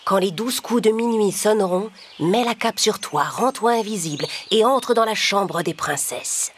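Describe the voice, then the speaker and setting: A woman's voice: mid-range voice, expressive, measured pace, slight background noise, close to an everyday voice. A woman voicing a kindly, poor old peasant woman in a children's fairy-tale cartoon, speaking in a pleading, solemn way. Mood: solemn, caring.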